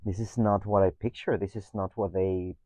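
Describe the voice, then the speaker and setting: A man's voice: low voice, level tone, normal speed, warm tone, no echo. A man in his thirties from Mexico with a Mexican accent, a guest talking reflectively, often hesitating, repeating words and ending thoughts with "no?". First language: Spanish. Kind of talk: reflective conversation.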